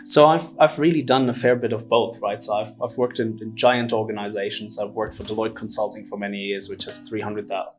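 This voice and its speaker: A man who grew up in Germany and Finland, speaking as an articulate interview guest who explains things conversationally and with emphatic repetition. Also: lowish voice. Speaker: podcast guest, entrepreneur.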